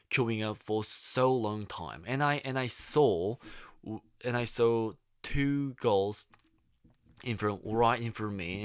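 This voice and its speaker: A young man with a Korean accent talks to his viewers in a slow, lower voice, full of restarts and fillers. His voice is clear and smooth, recorded close and dry with no room sound. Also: fairly bright tone, no room sound. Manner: halting, many restarts and fillers, searching for words. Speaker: YouTube vlogger. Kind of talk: casual monologue.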